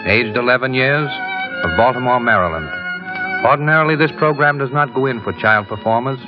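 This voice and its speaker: Wry vintage radio announcer, lo-fi. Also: male voice, medium pitch, fairly quick, music in the background. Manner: dry, wry presentation. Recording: dull old radio recording.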